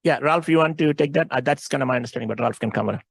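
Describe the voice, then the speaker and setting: A man's voice: mid-range voice, rapid-fire, vocal fry, slightly rough, no echo. A man speaking as a company executive, answering questions on an earnings call in an explanatory way, repeating phrases for emphasis.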